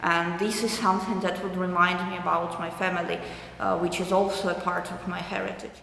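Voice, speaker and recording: A young adult woman from eastern Ukraine with a Ukrainian accent, answering interview questions thoughtfully with many hesitant pauses. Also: fairly low pitch, level tone, creaky, fairly bright tone, slight background noise. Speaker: artist interviewee. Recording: roomy hall with long echo.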